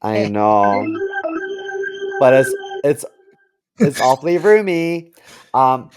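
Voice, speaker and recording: A podcast voice of ambiguous sex at a middle pitch. The delivery is casual and halting, feeling out each thought aloud, and inclined to joke. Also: big pitch swings, darkish tone, smooth, soft background music. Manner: hesitant, halting while thinking aloud.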